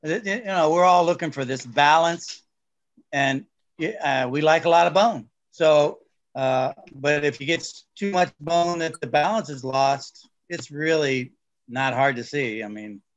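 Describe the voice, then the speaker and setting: A man on a video call panel, speaking haltingly and repeating words as he restarts his sentences. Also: a little high, dull audio. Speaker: breeder panelist.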